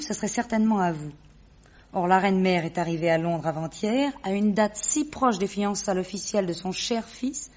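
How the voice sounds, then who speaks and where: An actress playing a poised, witty, teasing high-society lady in a French radio drama, with a playful, mocking, theatrical delivery. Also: a little mellow, natural voice. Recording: dull lo-fi radio recording.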